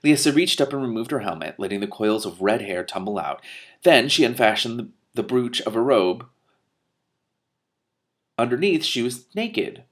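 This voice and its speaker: A man reading a fantasy novel aloud and commenting as he goes, with expressive delivery. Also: medium pitch, moderate pace. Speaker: reader and commentator.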